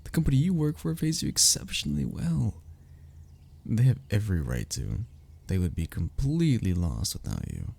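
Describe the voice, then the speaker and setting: A man's voice: fairly low pitch, huge pitch swings, normal speed, creaky, a little mellow, husky, heavily acted. A man playing a sentimental, teasing young man in an ASMR roleplay, talking intimately and conversationally to a childhood best friend. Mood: teasing, fond. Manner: intimate, conversational, with sighs and chuckles. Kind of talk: ASMR roleplay audio drama.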